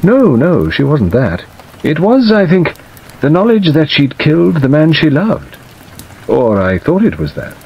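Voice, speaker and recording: A man voicing a weak, hesitant middle-aged gentleman in an audiobook, plaintive and wistful. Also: on the high side, vocal fry, breathy edge, pitched above the performer's own voice, full character voice. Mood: troubled, hesitant. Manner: hesitant, halting, self-qualifying. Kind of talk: dramatised audiobook reading. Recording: dull audio under steady rain noise.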